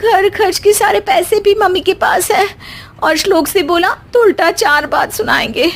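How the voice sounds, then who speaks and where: Woman voicing a high, feisty young Indian daughter-in-law. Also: moderate pace, fairly bright tone. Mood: panicked. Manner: sarcastic, indignant. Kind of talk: animated cartoon story dialogue.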